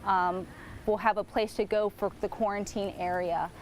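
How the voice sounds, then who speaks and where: A woman's voice: mid-range voice, steady tone, normal speed, slight background noise, rough recording. A woman working at an animal shelter, speaking earnestly in an interview clip with fillers. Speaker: animal shelter staff member. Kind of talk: interview soundbite.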